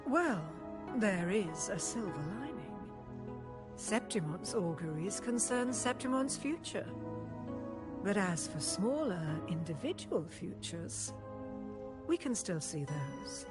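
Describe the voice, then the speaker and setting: Stern, composed priestess over music. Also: female voice, mid-range voice, big pitch swings. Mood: grave, measured. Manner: formal, measured, deliberate phrasing. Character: stern, composed high priestess, formal and measured. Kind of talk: scripted video game voice acting. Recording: game music under the voice.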